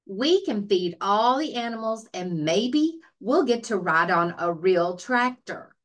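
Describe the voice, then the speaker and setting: A woman's voice: mid-range voice, animated, slow pace, a bit bright. A woman voicing an eager, affectionate little boy in a storybook, exclaiming and calling out. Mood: excited.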